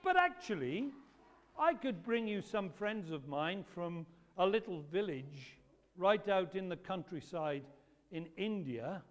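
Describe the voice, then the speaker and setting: A man with a loud voice, preaching an animated talk to children at a church service. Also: high voice, slow. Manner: lively, emphatic, storytelling to children. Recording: echoey hall reverb.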